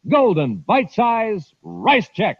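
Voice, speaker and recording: A man's voice: high voice, very animated, takes it slowly, breathy edge, dry recording, full character voice. A man working as an emphatic, punchy announcer for a 1950s radio space adventure serial. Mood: enthusiastic selling. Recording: dry, dull vintage radio recording.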